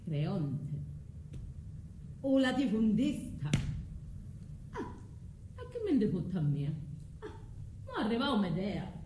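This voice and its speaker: A woman's voice: medium pitch, wildly swooping pitch, takes it slowly, pauses often, dark tone. An actress with a southern Italian accent playing a bitter, vengeful abandoned foreign woman in a theatrical stage monologue. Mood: bitter, scornful. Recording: echoey room, faint background noise.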